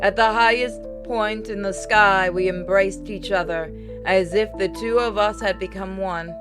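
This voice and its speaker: A woman reads visual novel dialogue aloud in a dramatic style. Her voice sits at a middle pitch, and game music plays clearly behind it. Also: steady tone, a little slow.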